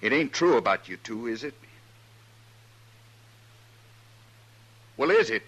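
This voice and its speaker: An American actor playing a jealous, hot-headed frontier husband with plain rustic speech in a 1950s radio western. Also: male voice, mid-range voice, animated, quick pace, a bit bright, slightly rough, highs cut off. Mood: agitated. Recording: noisy, humming old radio recording.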